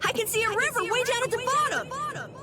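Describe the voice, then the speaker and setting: A woman's voice: very high voice, a little fast, loud music behind the voice, a loud crowd. A woman playing an earnest, easily flustered young boy adventurer in an English game dub, with an eager boyish delivery.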